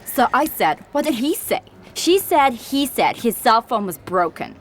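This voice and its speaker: A woman as a lively high-pitched gossipy teenage girl. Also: measured pace. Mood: gossipy, dismissive. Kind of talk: radio drama acting.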